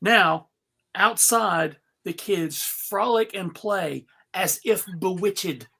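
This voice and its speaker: Unhurried woman narrating a script. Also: lowish voice, gravelly, fairly bright tone, slightly rough. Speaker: narrator reading stage directions. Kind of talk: live comedic script read, scripted narration.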